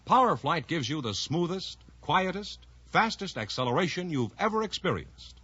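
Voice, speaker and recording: A man's voice: a little high, big pitch swings, normal speed, bright, forceful tone, breathy edge, no echo. A man announcing a scripted 1950s radio commercial with a polished salesman's delivery, on an old recording. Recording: dull vintage radio recording.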